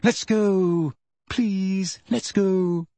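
Man doing an anxious teen schoolgirl, high and fretful. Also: lively intonation, moderate pace, breathy edge, no echo. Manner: high-pitched and fearful.

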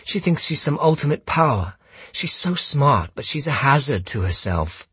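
A man's voice: a little high, very animated, normal speed, fairly bright tone, slightly rough, no echo, voice pushed up, heavily acted. A man voicing a mocking imitation of an earnest, doubting young man in an audiobook, strangled in tone. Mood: strangled sincerity. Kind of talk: audiobook character dialogue.

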